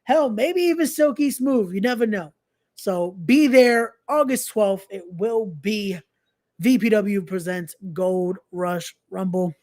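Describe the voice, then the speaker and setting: A young adult podcast host talks in a loose, casual live-stream style at a middling pitch. Phrases get repeated, and promotional announcements are mixed with slangy, informal asides. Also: slow pace, darkish tone.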